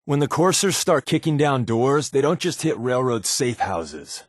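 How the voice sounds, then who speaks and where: Wry secret agent sidekick in a video game, kinda low n raspy, guarded but chatty, really dry recording. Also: male voice, big pitch swings, unhurried, no echo. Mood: earnest, measured. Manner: wry, conversational, confiding. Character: wry, guarded male undercover resistance agent companion. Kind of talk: naturalistic video game companion dialogue.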